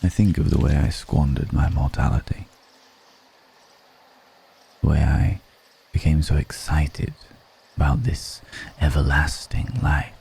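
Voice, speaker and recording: A man playing an ancient, refined, wistful male vampire in an intimate ASMR roleplay, speaking slowly and formally with measured pauses. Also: a bass voice, steady tone, vocal fry, mellow, slight background noise, exaggerated voice. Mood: musing, rueful. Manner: slow, measured, pausing between phrases, formal wording. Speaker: voice actor in an audio roleplay.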